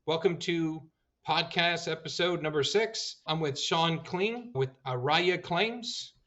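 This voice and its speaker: A man hosting an insurance podcast, upbeat and encouraging, giving quick reactions and asking open questions. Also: a little high, sharp tone, muffled recording. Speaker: podcast host. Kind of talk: interview hosting.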